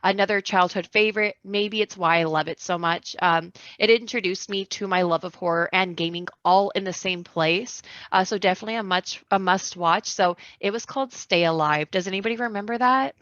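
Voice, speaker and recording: Girl w a bright sharp voice chatting on a podcast, kinda excited, slightly muffled lofi mic but super dry. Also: mid-range voice, brisk, hardly any pauses, no room sound. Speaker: podcast guest. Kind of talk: casual podcast chat.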